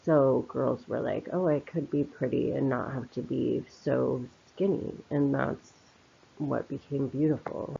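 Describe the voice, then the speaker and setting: A woman's voice: a bass voice, fairly even intonation, measured pace, vocal fry, dark tone, slight background noise. A woman giving an informal spoken commentary in a reflective, opinionated, conversational manner. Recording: slightly dull, lo-fi voice recording.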